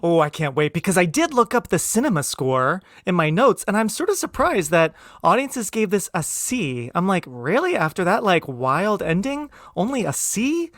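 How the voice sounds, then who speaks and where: A man's voice: high voice, sing-song, moderate pace, few pauses, a bit bright, dry recording, studio quality. A man in his thirties from New Jersey with a slight Jersey accent, chatting enthusiastically as a podcast host and saying "like" often. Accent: American, slight New Jersey. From Southern New Jersey, United States. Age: 30s. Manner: chatty, enthusiastic, peppers talk with "like". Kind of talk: casual movie podcast chat.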